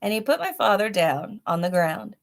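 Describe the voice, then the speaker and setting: A woman's voice: mid-range voice, very animated, moderate pace, gravelly. A woman narrating a children's story aloud as a reader, with chatty asides to her young listeners.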